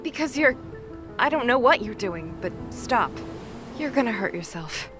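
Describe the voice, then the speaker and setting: A woman's voice: fairly high pitch, expressive, moderate pace, over music, close to an everyday voice. A woman voicing a practical young warrior girl in an audio drama, matter-of-fact and wry. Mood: exasperated. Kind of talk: audio drama character acting.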